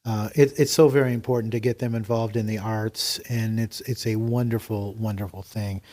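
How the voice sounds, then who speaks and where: A man's voice: lowish voice, fairly even intonation, moderate pace, mellow. A man hosting a podcast, chatting casually with his guests in an encouraging, appreciative way as he interviews them.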